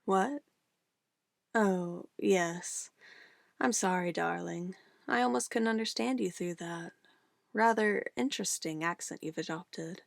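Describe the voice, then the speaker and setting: A woman playing a sweetly menacing, possessive witch in an ASMR roleplay, cooing and coaxing condescendingly in a soft voice. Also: lowish voice, slow pace, creaky, no echo, clean studio sound. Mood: smug, calmly menacing. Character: possessive young-sounding witch, sweetly menacing, doting on her familiar.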